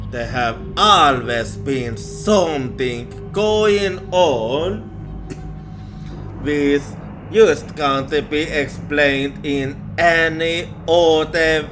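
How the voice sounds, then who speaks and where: A man telling a ghost story in an ASMR roleplay style, halting and hesitant, often repeating himself. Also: fairly high pitch. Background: music.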